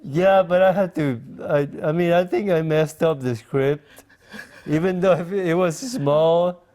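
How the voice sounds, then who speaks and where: A man in his forties from Mexico, speaking English as an interview guest, in a slow, halting way with many pauses and fillers. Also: a little high, dark tone. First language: Spanish. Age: 40s. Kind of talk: casual interview conversation.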